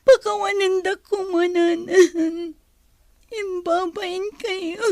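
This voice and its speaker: Woman doing a young student girl in a radio-style drama, super high voice, kinda creaky, dry sound. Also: steady tone, slow pace, a little mellow, no echo, exaggerated voice. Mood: tearful pleading. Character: young female student, tearful, ashamed pregnant daughter. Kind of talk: Ilocano audio drama acting.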